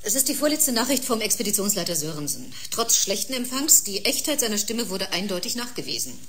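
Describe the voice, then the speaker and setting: An actress playing an earnest, principled doctor in a sci-fi radio drama, firm and reproachful. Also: female voice, medium pitch, sing-song, fairly quick, a bit bright, a little hiss or hum. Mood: grave. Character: earnest, principled female doctor pleading for the crew.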